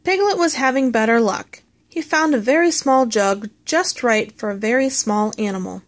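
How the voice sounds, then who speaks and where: A woman reading a children's story aloud in an expressive storytelling manner. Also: a little high, moderate pace. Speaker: storybook reader. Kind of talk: scripted children's story narration, read aloud.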